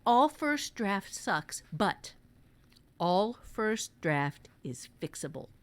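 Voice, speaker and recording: A woman with a middle-pitched voice chats as a podcast co-host and writing teacher. She is frank and emphatic, putting firm stress on her points and talking informally from her own experience. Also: very animated, extremely slow. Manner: blunt, emphatic. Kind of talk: casual chat with personal anecdotes.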